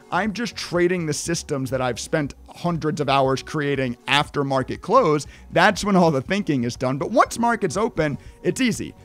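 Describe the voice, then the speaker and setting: Hoarse, emphatic man teaching trading. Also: fairly high pitch. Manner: energetic, emphatic, repeats "right?". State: losing his voice. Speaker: trading educator. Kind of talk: screen-share tutorial.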